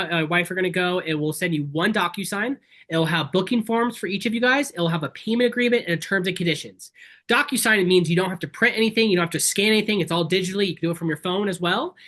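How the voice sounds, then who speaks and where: A man from Kenya giving an informal, earnest and emphatic webinar sales pitch as a safari tour operator. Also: bright, forceful tone. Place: online video call.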